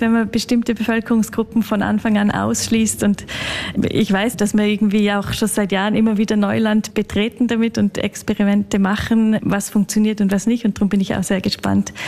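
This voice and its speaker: A woman answers questions as a festival director. Her intonation is flat and her medium-pitched voice has a clear creak. The phrasing is spontaneous. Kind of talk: interview answer.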